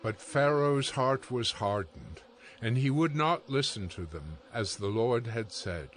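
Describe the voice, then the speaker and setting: A man narrating scripture for a dramatized audio Bible in a measured, solemn storytelling manner. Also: medium pitch, animated, a little hiss or hum.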